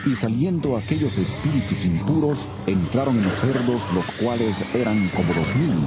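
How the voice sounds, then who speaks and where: Deep, expressive male Bible narrator. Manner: solemn, expressive storytelling. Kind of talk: dramatic scripted Bible narration. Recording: dull audio with music bed.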